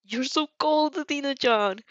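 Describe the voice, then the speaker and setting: A woman's voice: high-pitched, a little slow, fairly bright tone, dry recording, highs cut off, voice pushed up. A woman voicing a passionate, earnest teenage hero student, a boy who speaks in emphatic exclamations in an amateur audio drama. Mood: fervent.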